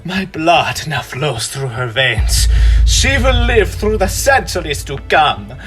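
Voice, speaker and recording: A man's voice: a little high, sing-song, fairly bright tone, exaggerated voice. A young man playing a commanding, menacing male vampire count in a theatrical radio drama. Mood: menacing, gloating. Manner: theatrical, commanding, slow and deliberate. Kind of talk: stage-style radio drama acting. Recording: music behind the voice.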